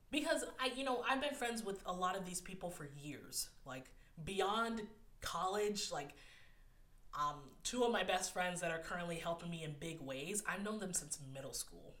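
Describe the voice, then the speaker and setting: A young woman talks openly on her podcast, slightly low in pitch but bright and forward in tone, a little nasal. She is close to tears, laughing through it with plenty of "like" and "um". The room around her is echoey. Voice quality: a bit nasal. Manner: candid, emotional, laughs often, fillers like "like" and "um". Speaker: podcast host. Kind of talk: personal solo podcast talk.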